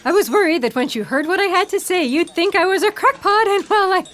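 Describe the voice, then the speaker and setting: A woman's voice: very high voice, a little fast, a bit bright. An actress playing a nervous, self-doubting young woman scientist in sci-fi audio theatre, hesitant and self-conscious. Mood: nervous, eager.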